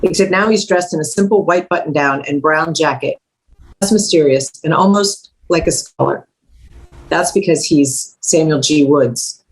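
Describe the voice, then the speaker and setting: A woman narrates a screenplay at a table read. Her voice sits low to middling in pitch, and she reads the scene directions as scripted narration. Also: moderate pace, poor audio.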